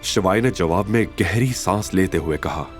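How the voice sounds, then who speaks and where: A man's voice: low voice, normal speed, faint music behind. A man delivering scripted, dramatic narration for an audio story series.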